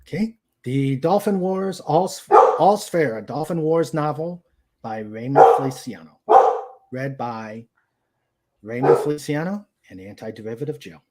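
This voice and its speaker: Slow-talking man hosting, noisy background. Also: medium pitch. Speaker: host. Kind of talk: casual livestream chat. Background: dog noises and clicks.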